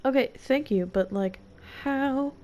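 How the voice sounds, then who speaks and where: A woman's voice: high-pitched, takes it slowly, dark tone, a little hiss or hum. A woman doing casual live gameplay commentary, reacting to the story with wry, slangy remarks. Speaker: gameplay streamer.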